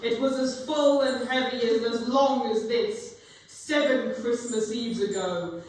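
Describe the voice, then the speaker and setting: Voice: mid-range voice, steady tone, slow, natural voice. A young performer acting a solemn, tormented male ghost in a portentous, declamatory stage reading. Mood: anguished, stern. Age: young adult. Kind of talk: dramatic stage reading of a Victorian classic. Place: large echoey room with live ambience. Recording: echoey hall from far off, background noise.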